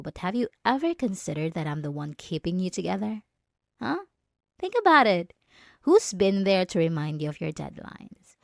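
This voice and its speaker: A woman's voice: fairly low pitch, gravelly, no room sound, clean studio sound. A young woman playing a jealous, condescending yandere student bully in an ASMR roleplay, speaking straight to the listener with sarcastic, taunting emphasis.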